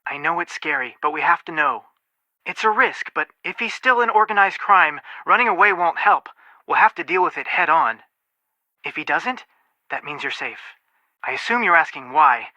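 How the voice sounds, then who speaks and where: A man's voice: a little high, expressive, normal speed, pushed and bright, no echo, highs cut off. An actor voicing a level-headed, protective young male amateur detective in a video game, speaking in an earnest, matter-of-fact way with dry wit. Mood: serious, protective. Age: young adult.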